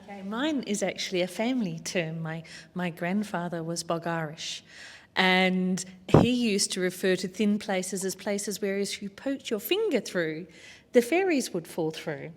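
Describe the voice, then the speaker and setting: A woman's voice: mid-range voice, animated, unhurried. A woman speaking as a panellist at a public talk, earnest and informed, with some fillers. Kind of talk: panel discussion answers. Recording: long hall reverb.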